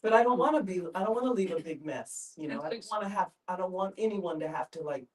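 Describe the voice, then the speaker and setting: Older lady in a discussion group, kinda far from the mic, thinking out loud, halting. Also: on the low side, expressive. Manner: halting, searching for words. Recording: distant microphone.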